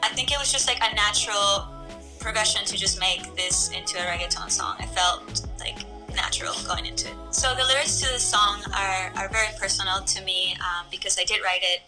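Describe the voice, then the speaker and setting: A young woman from Ecuador, a singer, chatting casually to camera in a relaxed way with lots of filler words. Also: mid-range voice, brisk, over music, poor audio. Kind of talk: casual talk to camera about her song.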